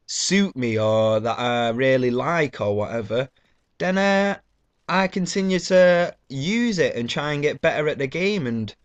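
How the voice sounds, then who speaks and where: A man's voice: on the high side, fairly bright tone. A man giving casual gameplay commentary with a blocked nose, rambling and swearing loosely between fillers. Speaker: gaming YouTuber.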